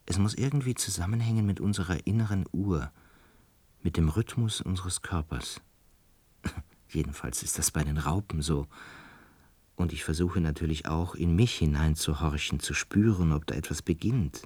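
A deep, husky, brooding lodger. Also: male voice, darkish tone, no echo. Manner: slow, musing, murmuring. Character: brooding male lodger, former medical student, calm, introspective. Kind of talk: German radio play monologue and dialogue.

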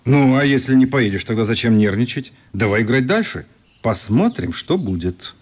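An actor playing a good-natured journalist in a radio play, conversational, wry and mock-polite. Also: male voice, medium pitch, animated, normal speed, a little mellow, natural voice. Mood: calm.

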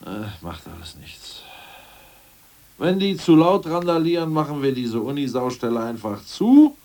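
A man playing a crude, scheming ousted party functionary in a radio play, boastful and plotting, muttering one moment and loud the next. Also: medium pitch, sing-song, a little slow, distant mic. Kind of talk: 1980s radio play acting.

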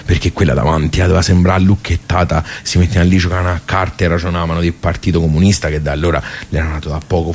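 An actor playing a nostalgic, grumbling old social-club regular in a radio soliloquy, with a Tuscan accent and a colloquial, halting, rambling delivery full of rhetorical asides. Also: male voice, low-pitched, breathy edge. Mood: nostalgic, proud. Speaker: stage actor. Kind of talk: theatrical radio soliloquy, conversational.

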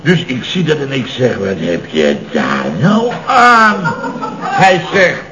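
An actor playing an indignant, rambling middle-aged contractor boss in a radio comedy, full of exclamations and rhetorical questions. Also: male voice, mid-range voice, wildly swooping pitch, takes it slowly, sharp tone, exaggerated voice. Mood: scornful. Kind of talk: 1970s Dutch radio comedy acting. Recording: dull, noisy old radio recording.

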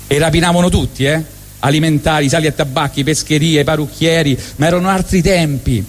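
A middle-aged man with a strong Roman accent tells a comic monologue in a wry, deadpan tone, slipping into quick acted asides. His voice sits a little high for a man. The sound carries the long reverb of a hall. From Rome, Italy. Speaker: monologue performer. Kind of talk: comic storytelling monologue on stage. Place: stage at a live benefit event.

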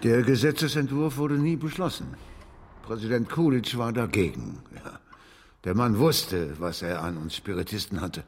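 An actor playing a proud, stubborn older gentleman author in a German radio drama, with dignified, defensive indignation. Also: mid-range voice, moderate pace, a little hiss or hum, close to an everyday voice. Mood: smug.

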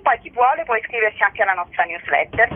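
A woman's voice: on the high side, lively intonation, quick pace, breathy edge. A woman being interviewed as a guest on the radio, explaining things in an informal, somewhat hesitant way over the phone. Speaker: exhibition curator interviewed as guest. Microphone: telephone. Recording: muffled, band-limited telephone call.

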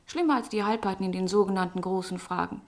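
An actress playing a young, charming, witty lady-in-waiting in a German radio drama, teasing, ironic and sharp-tongued. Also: mid-range voice, quick pace, lightly acted. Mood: mocking, scornful. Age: young adult.

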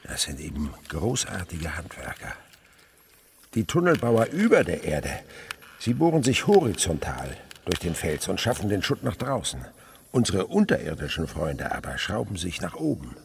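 An actor playing an older naturalist uncle in a radio play, eager and tender, explaining with hushed wonder. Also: male voice, low-pitched, huge pitch swings, normal speed, vocal fry, slight background noise, exaggerated voice. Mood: enthralled, explaining. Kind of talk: German radio play acting.

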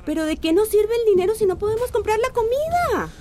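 A woman's voice: very high-pitched, big pitch swings, fast talker. An actress playing a worried, reproachful mother of a grown son in a radio drama, speaking emphatically. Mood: indignant.

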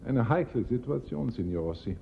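An actor playing a cautious, non-committal professor in a radio play, speaking in a measured, lecturing way. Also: male voice, medium pitch, expressive, gravelly, highs cut off. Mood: cautioning. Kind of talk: German radio play acting.

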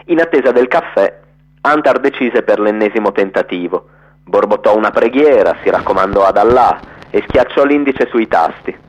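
A man's voice: fairly low pitch, lively intonation, moderate pace. A man reading literary prose as a scripted radio narration. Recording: dull, lo-fi radio sound.

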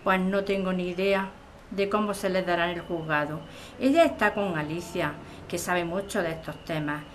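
A woman's voice: lowish voice, normal speed, a little hiss or hum, lightly acted. A woman playing a practical supporter in a radio drama, reassuring and explaining things in a soothing manner.

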